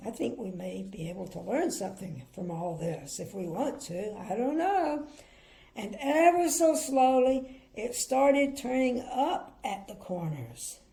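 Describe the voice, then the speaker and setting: A woman's voice: on the high side, expressive, unhurried, vocal fry. An older woman, a grandmother, reading a children's storybook expressively and adding chatty, opinionated asides. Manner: chatty, gently opinionated commentary. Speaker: grandmother reading bedtime stories.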